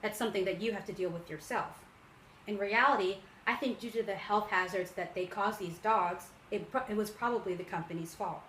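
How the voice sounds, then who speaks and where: A woman's voice: medium pitch, brisk, fairly bright tone. A young woman delivering a prepared persuasive speech as a student public speaker, in an earnest, rehearsed way. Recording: roomy echo, faint background noise.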